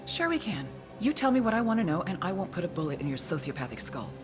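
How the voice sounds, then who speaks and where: An actress playing a ruthless, sardonic American intelligence agent in a video game cutscene, threatening and profane. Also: female voice, fairly low pitch, big pitch swings, fast talker, over music. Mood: menacing, mocking.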